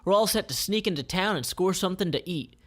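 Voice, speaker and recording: A man voicing an eager, chatty young princess from a comic in an animated way. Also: sits high, fast, voice pushed up.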